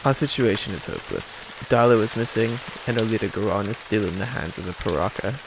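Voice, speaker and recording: Man voicing a quick-talking, worried villager. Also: lowish voice, close to an everyday voice. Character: worried, wry village resistance fighter. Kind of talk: animated fan-dub acting. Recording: rain noise behind voice.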